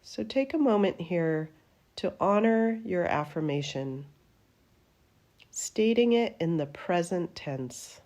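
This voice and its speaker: A woman with a low, mellow voice leads a guided meditation. She speaks very slowly and gently, in soothing phrases that trail off at the ends. Her tone is dark and relaxed, suited to a teacher of deep rest practice. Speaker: yoga nidra meditation teacher.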